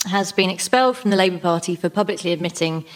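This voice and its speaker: A woman's voice: lowish voice, quick pace. A woman speaking as a comedy panellist in conversational stand-up banter, with a hesitant, self-mocking manner. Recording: live radio show with audience laughter.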